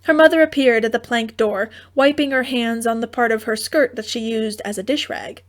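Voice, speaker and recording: High-voiced woman narrating a comic fairy tale. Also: normal speed. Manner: theatrical, droll storytelling with wry asides. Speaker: audiobook narrator.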